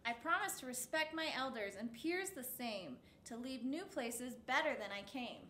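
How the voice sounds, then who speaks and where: Bright, high-voiced woman reading a storybook. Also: lively intonation, moderate pace. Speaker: storytime host reading a picture book. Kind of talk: read-aloud children's storytime. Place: indoor set with backdrop, seated. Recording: roomy echo, faint background noise.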